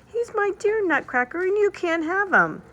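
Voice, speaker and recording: A woman's voice: extremely high pitch, big pitch swings, moderate pace, a bit bright, highs cut off, voice pushed up, full character voice. A woman voicing a tearful, protective little storybook girl who pleads indignantly. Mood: tearful, defiant.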